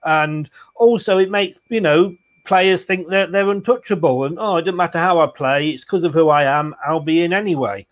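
Man, 60s, fan show host, slightly raised pitch, chatty and halting, on a video call. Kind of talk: casual football post-match chat. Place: room at home, indoors. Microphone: video call, webcam.

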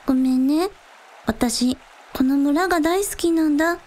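A woman's voice: high-pitched, a little slow, darkish tone. A woman playing a casual, blunt young village idol in a radio drama, with breezy, slangy, matter-of-fact delivery. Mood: resolute. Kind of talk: Japanese radio drama acting.

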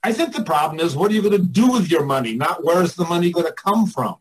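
A man's voice: high voice, expressive, quick pace, slight background noise. An older man giving informal one-on-one coaching in a blunt, emphatic way, thinking aloud as he goes. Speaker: artists' coach and mentor.

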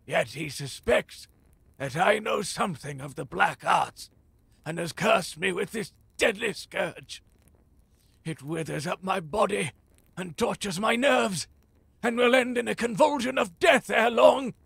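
Gravelly, high-pitched ominous philosopher. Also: male voice, a little slow, a bit bright, dry recording, exaggerated voice. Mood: bitter, pained. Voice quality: gravelly, husky. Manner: theatrical, portentous, archaic phrasing. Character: cursed, frail male philosopher and seer, ominous and solemn. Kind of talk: fantasy audio drama acting.

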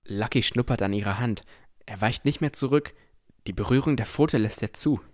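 A man's voice: medium pitch, normal speed, darkish tone, lightly acted. A man playing a cautious, easily amazed boy who narrates his own adventure in a scripted fantasy audio drama.

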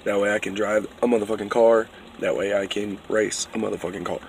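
Dude in his 20s vlogging from a car, swears a lot, voice getting hoarse, faint road hum. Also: fairly low pitch, fairly even intonation, a little fast. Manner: loose, profane and joking. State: losing his voice. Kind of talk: casual car vlog. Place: inside a moving SUV. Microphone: phone held at arm's length.

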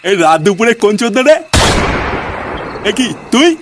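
A man's voice: extremely high pitch, big pitch swings, normal speed, heavy background noise. A man voicing a bossy, bad-tempered village father in a comedy cartoon, scolding and abusive. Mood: outraged.

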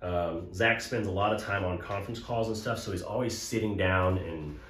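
A man's voice: sits low, normal speed, fairly bright tone. A man, a chiropractor talking casually and joking while treating a patient in a small clinic room. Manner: easygoing, joking, explains while working. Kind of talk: casual clinic video talk. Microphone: camera mic from across the room. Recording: roomy, distant camera sound, faint noise.

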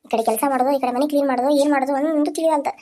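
Woman as a scornful North Karnataka aunt who complains without end; high voice pushed lower. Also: fairly even intonation, rapid-fire, dark tone, slight background noise, natural voice. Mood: bitter scolding. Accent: North Karnataka Kannada. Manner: bitter, nagging, scornful. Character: harsh scolding middle-aged aunt. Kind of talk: village family drama acting.

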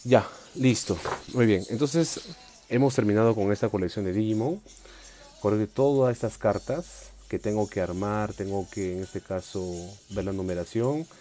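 A man's voice: lowish voice, moderate pace, warm tone, faint music behind. A man hosting a live unboxing stream from a tabletop, chatty and upbeat, reading viewer comments aloud. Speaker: collector livestream host. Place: indoors at a table.

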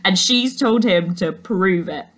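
A woman's voice: mid-range voice, slow pace, fairly bright tone. A woman voicing a casual young male surfer in a storybook, a local with a mocking manner. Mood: speculating.

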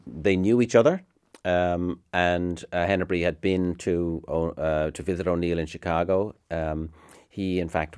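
A middle-aged man, speaking in a reflective, halting way in a documentary interview. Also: low voice, darkish tone, dry recording, studio quality. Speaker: university music academic.

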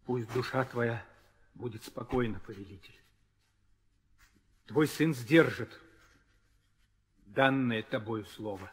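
An actor performs a noble, steadfast young prince. His mid-pitched voice is solemn and declamatory. The old radio play recording carries some hall reverb. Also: slow pace, long pauses, close to an everyday voice. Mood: solemn resolve. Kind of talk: 1960 theatrical audio drama acting.

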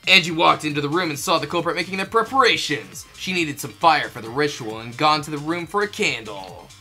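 Bright, animated narrator over music. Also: medium pitch. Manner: playful asides, quick to laugh. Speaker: fandub performer reading the game script with commentary. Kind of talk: scripted narration mixed with casual joking chat.

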